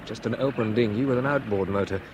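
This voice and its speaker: A man's voice: lowish voice, fast talker, background noise, natural voice. An actor playing a determined young man who speaks firmly and urgently in an old radio drama. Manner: firm, urgent. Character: determined young man named Bob, brave. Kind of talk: 1960s radio drama acting.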